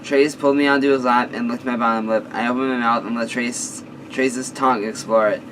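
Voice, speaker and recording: A hoarse man reading a fan-fiction story aloud, stumbling and restarting phrases often. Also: medium pitch, steady tone, distant mic, background noise. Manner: halting, frequent restarts. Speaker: story reader.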